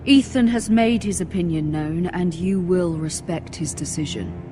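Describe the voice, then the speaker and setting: A woman's voice: fairly low pitch, unhurried, over music, natural voice. A woman playing a poised, worried noblewoman who keeps the peace in a video game drama. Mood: concerned, firm.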